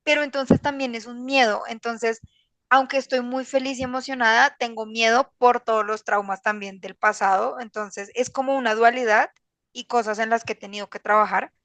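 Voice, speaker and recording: A woman's voice: on the high side, fairly even intonation, moderate pace, a bit bright, no echo. A woman with a Colombian accent talking casually as a podcast guest, candid, slangy and rambling. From Bogotá, Colombia. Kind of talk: casual personal conversation.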